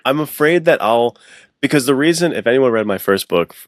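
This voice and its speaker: A man's voice: medium pitch, animated, a little fast, no echo, clean studio sound. A man, an author on a podcast, chatting casually in an enthusiastic, slangy, self-deprecating way. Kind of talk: casual chat.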